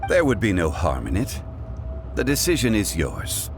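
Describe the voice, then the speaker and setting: A composed ancient god, low voice with lively pitch, dignified, game music behind. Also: male voice. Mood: grave. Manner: dignified, measured. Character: ancient god in human guise, male, composed. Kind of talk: video game voice acting. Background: orchestral game music.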